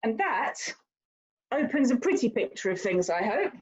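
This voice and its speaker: A dull, slightly lo-fi recording of a woman acting a haughty young lady in a period stage drama. Her voice sits fairly high and swoops about as she lectures like a worldly, condescending older sister, stressing her points theatrically. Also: big pitch swings, normal speed. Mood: indignant.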